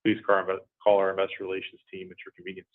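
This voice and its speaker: A man's voice: low-pitched, completely flat delivery, rapid-fire. A man moderating a corporate conference call, relaying questions in a businesslike way. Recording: tinny, phone-like radio-sounding line.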